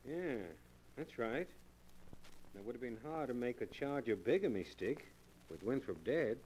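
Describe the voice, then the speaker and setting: An actor playing a dutiful junior police officer in a 1940s radio drama. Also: male voice, mid-range voice, expressive, a little slow, darkish tone, husky, low-quality recording. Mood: subdued.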